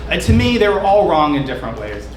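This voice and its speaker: A man's voice: a little high, very animated, fast talker. A man giving a loose, chatty presentation of his app, with lots of fillers and restarts. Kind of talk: casual demo talk. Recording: echoey room with background noise.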